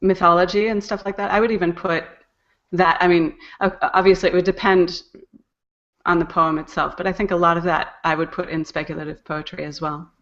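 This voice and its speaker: Woman guest on a casual interview, lowish voice, thinks out loud, kinda muffled call audio. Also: fairly even intonation, a little fast. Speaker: guest, poetry podcast editor and narrator.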